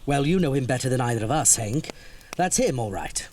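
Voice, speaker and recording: An actor playing a genteel older gentleman, formal and reassuring with a nervous edge, in a radio horror drama. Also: mid-range voice, expressive, brisk, a little hiss or hum, natural voice. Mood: anxious, solicitous. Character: genteel, soothing older gentleman keeping frightened companions calm.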